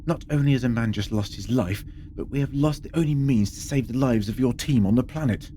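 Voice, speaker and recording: An actor playing a sardonic, lecturing time-travelling adventurer in a sci-fi audio drama. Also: male voice, medium pitch, fairly quick, dark tone, slight background noise, close to an everyday voice. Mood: scornful. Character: sardonic, quick-witted male time-travelling alien adventurer.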